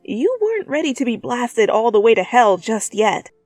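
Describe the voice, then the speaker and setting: A woman's voice: on the high side, big pitch swings, moderate pace, darkish tone, no room sound. Woman narrating a story over music. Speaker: fan fiction reader. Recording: background music under voice.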